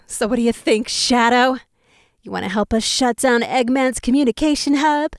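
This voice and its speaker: A woman's voice: a little high, moderate pace, dry recording, clean studio sound, lightly acted. A woman playing a self-assured, flirty female bat spy with poised delivery in a fan audio drama. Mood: confident.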